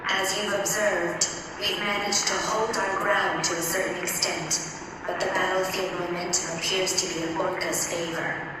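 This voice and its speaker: A woman's voice: fairly low pitch, level tone, moderate pace, very noisy, lightly acted. An actress playing a composed, businesslike woman formally briefing assembled pilots in a video game cutscene. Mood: composed, serious. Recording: distant, echoing hall, loud room ambience.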